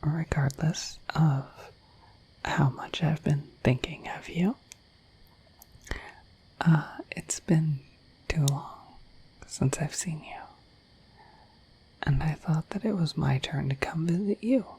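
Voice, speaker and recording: A woman voicing a shy, affectionate, dorky dragon in a bashful, hesitant breathy whisper for an ASMR roleplay. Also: low-pitched, slow, pauses often, dark tone, slight background noise, rough recording. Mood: flustered, affectionate. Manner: bashful, hesitant, apologetic, rambling.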